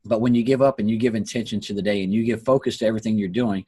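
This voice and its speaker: A hoarse man who is losing his voice hosts an earnest, preachy motivational talk and often repeats his phrases. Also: fairly low pitch, steady tone, racing, warm tone, dry recording. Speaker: host. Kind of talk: motivational talk on a live online call.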